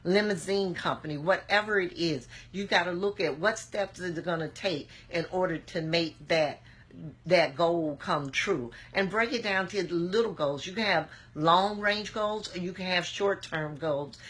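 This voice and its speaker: A woman, hoarse from a cold, giving an earnest, encouraging motivational talk to camera with plenty of filler "um"s. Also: lowish voice, a little slow, a bit bright. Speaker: motivational vlogger.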